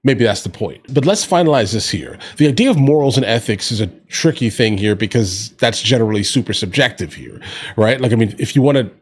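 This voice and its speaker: A man's voice: mid-range voice, animated, a little fast. A man giving casual political commentary as a reaction host, conversational and opinionated, with slangy asides to his viewers.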